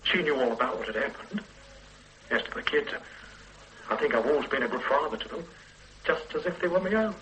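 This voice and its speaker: A man's voice: fairly high pitch, expressive, slight background noise, rough recording. A wistful father on the run. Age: older. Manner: soft, halting, pleading. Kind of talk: radio drama acting.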